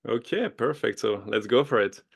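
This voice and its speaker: A man's voice: on the low side, lively intonation, normal speed, creaky, breathy edge. A man in his thirties with a French accent, chatting eagerly as a podcast guest, often laughing and restarting his sentences. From France. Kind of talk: casual interview chat.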